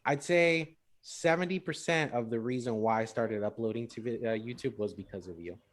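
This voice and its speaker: Man, podcast co-host, casual rambling delivery, repeats himself, drops Spanish phrases, mid pitch. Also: normal speed. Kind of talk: casual chat.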